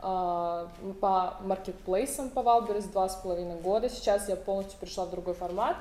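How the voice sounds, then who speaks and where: A woman guest on a talk show, chatting casually about herself, sometimes in rapid bursts. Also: medium pitch, warm tone, reverberant, a little hiss or hum, low-quality recording. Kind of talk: casual self-introduction.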